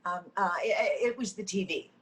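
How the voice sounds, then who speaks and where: A woman's voice: mid-range voice, normal speed, close to an everyday voice. A woman acting an earnest, easily exasperated leader of a women's goddess meeting in a comedy script read over a video call. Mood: flustered. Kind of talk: live comedy screenplay table read. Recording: online call with faint noise.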